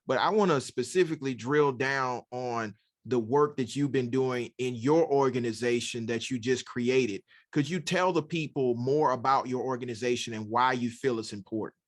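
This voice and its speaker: A man interviews a guest as podcast host in a voice of ordinary pitch. He is conversational and affirming, building up to his questions. The recording is dry, with hardly any room sound. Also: normal speed, no room sound.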